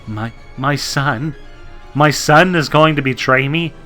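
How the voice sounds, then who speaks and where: Man acting a distraught, quavering, high-pitched president. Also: slow, bright, forceful tone, soft background music. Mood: pleading, shocked. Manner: quavering, stammering, fervent. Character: distraught, fanatically devout male president, easily overwhelmed. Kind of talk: melodramatic radio drama acting.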